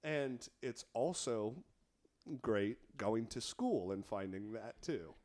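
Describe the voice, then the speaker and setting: A man's voice: on the low side, animated, takes it slowly, a little mellow. A young man co-hosting a comedy podcast, riffing playfully and reading sponsor lines between crude jokes. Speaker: podcast co-host. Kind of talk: casual comedic chat.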